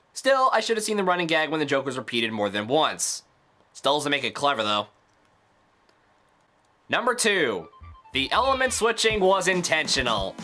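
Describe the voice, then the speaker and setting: Man, lively swooping pitch, bright forceful tone, sarcastic scripted reviewer, music underneath. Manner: opinionated, sarcastic. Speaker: video reviewer.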